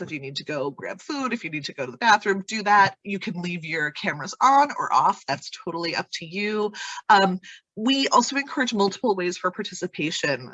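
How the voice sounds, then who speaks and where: A young woman hosting an online workshop over a video call from home, talking in a friendly, relaxed way with many ums, her nose stuffed up from a cold. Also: mid-range voice, no room sound, poor audio. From Vermont, US. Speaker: workshop host. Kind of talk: welcoming online workshop talk. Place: sunroom at home.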